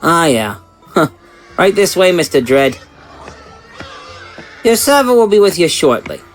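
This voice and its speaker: A man playing a brisk, deferential maitre d' in a horror audio drama. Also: fairly high pitch, very animated, soft background music. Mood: officious politeness.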